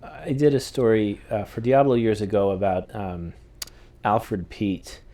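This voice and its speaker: A man's voice: fairly low pitch, measured pace, mellow. A man hosting an interview, asking questions through a conversational anecdote. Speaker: interviewer, host.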